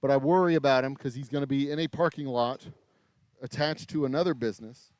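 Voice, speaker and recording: A man in his forties with an American accent, hosting a casual livestream from his small distillery while he works, his voice a little raspy after hours of talking. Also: mid-range voice, a little fast, a little mellow, no room sound. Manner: rambling, self-interrupting, mild swearing. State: voice getting raspy from long talking. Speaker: distillery owner and livestream host. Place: small craft distillery shop.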